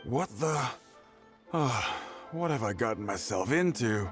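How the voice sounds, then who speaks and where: A man's voice: medium pitch, animated, measured pace, faint music behind, natural voice. A man voicing a sleazy, bullying yet cowardly tabloid blackmailer in a video game. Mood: flustered, cowed. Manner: menacing, then flustered stammering bluster.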